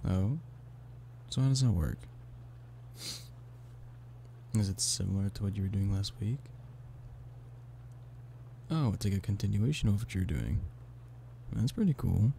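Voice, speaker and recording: Man acting as a low, creaky-voiced coaxing boyfriend. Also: moderate pace, long pauses. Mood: coaxing, casual. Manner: teasing, pleading, intimate one-sided conversation. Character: playful, affectionate human boyfriend coaxing a stubborn cat-person. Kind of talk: ASMR boyfriend roleplay. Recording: steady background noise of rain. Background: rain.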